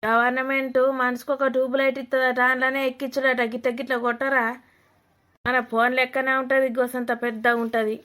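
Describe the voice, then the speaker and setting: A woman's voice: high-pitched, level tone, a little fast, sharp tone. A woman playing a blunt, nosy older village mother-in-law with a Telangana Telugu accent, scolding and mocking in a comedy cartoon. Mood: chatty, bossy.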